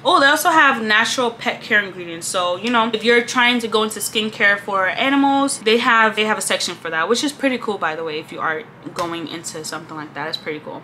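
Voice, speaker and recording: A young woman vlogging casually at her desk in a small room, laid-back and chatty, her voice a little congested and often trailing off softly at the ends of lines. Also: fairly high pitch, a little hiss or hum. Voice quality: slightly congested. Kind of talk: casual chat.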